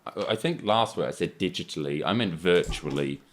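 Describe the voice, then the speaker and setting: A woman with a very low, deep voice that she calls monotone, though her pitch still jumps around a lot. She co-hosts a chatty podcast from a cold barn, rambling and laughing often. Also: fairly quick, slight background noise. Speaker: podcast co-host. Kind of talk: casual chat.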